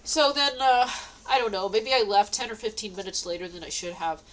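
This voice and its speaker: A woman's voice: mid-range voice, a little fast, low-quality recording. Stuffy-nosed woman rambling about her day. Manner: meandering, many fillers and restarts. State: stuffy nose from a cold. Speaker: vlogger. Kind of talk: rambling personal diary talk. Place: at home indoors.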